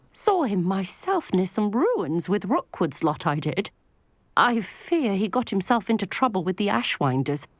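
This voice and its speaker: An actress playing a worried, chatty village widow in a video game, with a folksy, gossipy manner. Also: female voice, fairly high pitch, dry recording. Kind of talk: video game dialogue acting.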